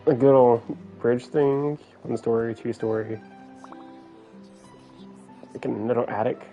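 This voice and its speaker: A man's voice: medium pitch, fairly even intonation, unhurried, dark tone. A man giving casual live gameplay commentary, musing aloud in short, slangy bursts. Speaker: game streamer. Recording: game music faintly behind. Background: video game music.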